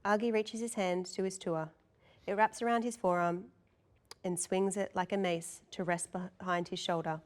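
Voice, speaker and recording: A woman's voice: medium pitch, fairly even intonation, moderate pace. A woman reading scene directions as the narrator of a screenplay table read.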